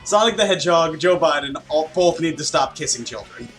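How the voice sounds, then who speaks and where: A man's voice: on the high side, lively intonation, fairly quick, fairly bright tone, faint music behind. A man hosting a gaming let's play, giving casual, joking commentary with plenty of swearing and heckling. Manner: profane, heckling.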